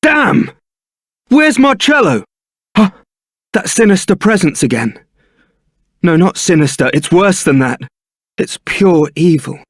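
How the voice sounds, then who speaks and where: An actor playing a young templar knight in a video game, suave, wry and brooding, with a theatrical, articulate delivery. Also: male voice, high-pitched, big pitch swings, slow pace, long pauses, no echo, studio quality, exaggerated voice. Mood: alarmed, urgent. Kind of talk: video game voice acting, dramatic scripted dialogue.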